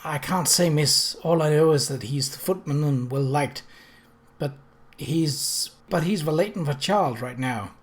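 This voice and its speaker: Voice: mid-range voice, measured pace, close to an everyday voice. Young lady's maid, acted out by a narrator: flustered, truthful, deferential, hesitant delivery for period fiction. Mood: nervous, hesitant.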